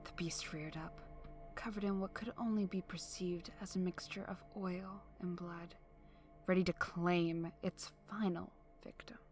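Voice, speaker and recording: A woman playing a morbid, soft-spoken camper in an audio drama, with a deliberate, eerie storyteller's delivery. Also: fairly low pitch, steady tone, music in the background, natural voice. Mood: ominous, hushed. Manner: hushed, ominous, deliberate.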